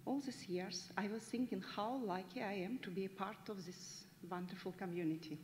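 A woman's voice: medium pitch, moderate pace, a little mellow. Russian-accented woman speaking in a hall. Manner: earnest, heartfelt reading. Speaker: teacher assistant. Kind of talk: prepared graduation speech. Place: large school hall. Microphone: podium mic. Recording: reverberant hall, faint background noise.

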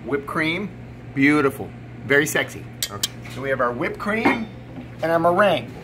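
A man's voice: fairly high pitch, big pitch swings, normal speed. A middle-aged man giving a casual cooking demonstration, chatty and emphatic, often checking in with the viewer. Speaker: chef presenting a recipe video. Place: indoor commercial kitchen or sandwich shop. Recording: clear background noise in working kitchen.

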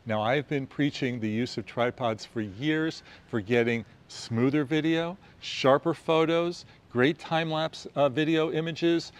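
A man's voice: fairly high pitch, steady tone, measured pace, few pauses. An American man in his sixties presenting gear tips to camera as a video host, emphatic and fond of listing points. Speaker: host of a travel photography video show. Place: outdoors on a quiet residential street.

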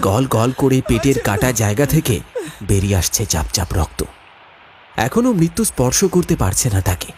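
A man's voice: mid-range voice, big pitch swings, brisk, darkish tone, slight background noise. A man narrating a scripted horror story in a theatrical, suspenseful way.

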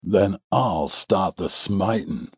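Man voicing a gruff, low old farmer. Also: measured pace, mellow, no room sound, studio quality. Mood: furious. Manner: gruff, blunt, sardonic. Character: sturdy old farmer father, fierce, defiant.

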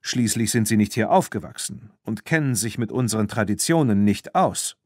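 A man's voice: lowish voice, expressive, normal speed, darkish tone, no room sound, studio quality. A man voicing a polite, formal French marquis in a storybook. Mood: reserved, courteous.

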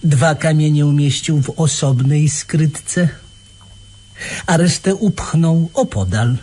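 A man's voice: fairly high pitch, slow, mellow, slightly rough. An actor playing a smug, theatrical police inspector in a radio play, gloating and mock-courteous as he explains. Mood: smug explaining.

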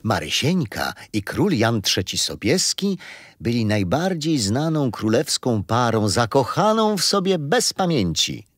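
A man's voice: mid-range voice, big pitch swings, a little slow, dry recording, studio quality. An actor playing a kindly, patient old grandfather who tells history to his grandchildren in a children's radio play. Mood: storytelling, amused. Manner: gentle storyteller, explaining patiently.

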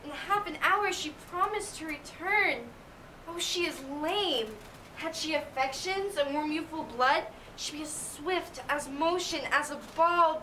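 A woman's voice: extremely high pitch, bright, forceful tone, heavily acted. A woman playing an impatient, lovesick young girl in a Shakespeare play, pleading and declaiming. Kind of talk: Shakespearean stage acting. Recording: echoey room, distant mic, faint noise.

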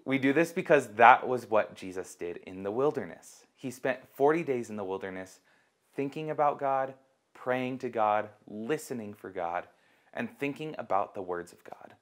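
Young man teaching children, mid-pitched voice. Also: expressive, moderate pace. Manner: simple, encouraging, asks listeners questions. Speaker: children's ministry host and teacher. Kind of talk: kids sermon to camera.

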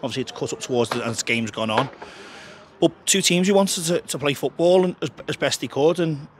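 A man, a football manager giving a frank, chatty post-match interview outdoors by the pitch into a handheld mic, often restarting his sentences. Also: a little fast. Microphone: handheld interviewer mic held close.

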